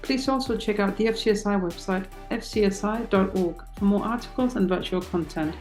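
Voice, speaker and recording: A woman's voice: medium pitch, brisk, darkish tone, echoey room, soft background music. A woman hosting a podcast interview, asking questions with some hesitation and reading a closing outro.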